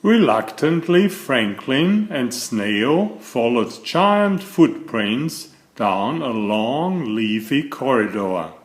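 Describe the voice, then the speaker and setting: Echoey, animated male storybook reader. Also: mid-range voice. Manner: deliberate, expressive storytelling for young children. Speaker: children's storybook narrator. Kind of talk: read-aloud picture book narration.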